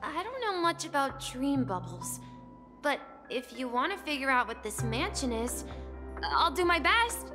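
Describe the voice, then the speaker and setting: A woman's voice: high voice, sing-song, unhurried, over music. A woman voicing a timid, eager young boy in a video game, hesitant and polite, in a long reverb. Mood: puzzled, timid. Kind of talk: video game cutscene acting.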